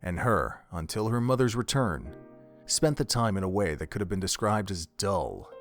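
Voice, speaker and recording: Man, scripted story narration, slightly low pitch, music behind the voice. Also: expressive, moderate pace. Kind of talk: scripted audio drama narration.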